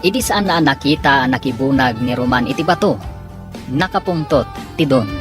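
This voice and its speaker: A woman's voice: a bass voice, expressive, moderate pace. A woman narrating and announcing a radio drama in a dramatic, scripted style. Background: prominent music.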